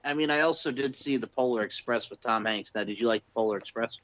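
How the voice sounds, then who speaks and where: An American man co-hosting a casual podcast. He is laid-back, swears offhandedly and rattles off film trivia. His voice is mid-pitched, recorded dry and close. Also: quick pace, a bit bright, dry recording. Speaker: podcast co-host.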